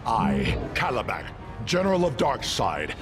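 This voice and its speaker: Haughty male war general for a video game: booming, slow, threatening, over loud music. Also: huge pitch swings. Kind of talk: animated video game cutscene acting.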